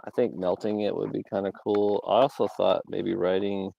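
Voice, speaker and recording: A man's voice: low voice, flat delivery, moderate pace, creaky, warm tone, lo-fi sound. A young man from Georgia in the US South, casually rambling on a livestream with lots of fillers and little laughs. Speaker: livestream host. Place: outdoors.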